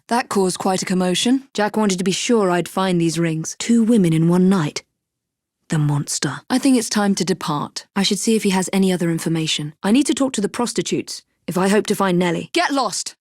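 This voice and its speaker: A woman's voice: mid-range voice, no room sound, lightly acted. Young Victorian English assassin heroine played by a woman for a game: poised, determined, dry wit, very dry close studio sound. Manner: poised, clipped, dryly witty. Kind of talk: video game character voice lines.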